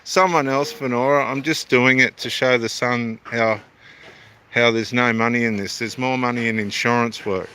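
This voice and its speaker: A man's voice: medium pitch, fairly even intonation, normal speed, pushed and bright, slight background noise. A middle-aged Australian man, an evangelist and theologian, talking casually and meanderingly to camera at a building site by the water. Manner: meandering, self-deprecating, homely. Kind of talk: casual rambling talk to camera.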